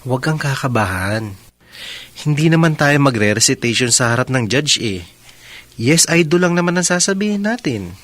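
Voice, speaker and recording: A man's voice: medium pitch, lively intonation, normal speed, fairly bright tone, lightly acted. A man plays a patient, reassuring bridegroom in a radio drama. His voice is mid-range, light and playful, with a caring, natural delivery. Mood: teasing, reassuring.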